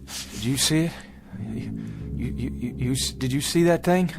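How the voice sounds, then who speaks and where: Frontier husband , played by a man: earnest, desperate wagon leader, mid-range voice, halting and repetitive, radio drama with faint music. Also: medium pitch, natural voice. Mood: shaken. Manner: halting, stammering, repeating words.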